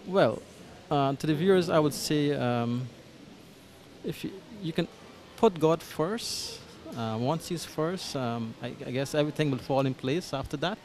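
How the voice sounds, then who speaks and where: A man's voice: a little high, measured pace, a little mellow. A Guyanese man in his thirties answering interview questions in a small church hall, hesitant with many ums and restarts, speaking into a handheld mic. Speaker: parish coordinator. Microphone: handheld mic held by interviewer.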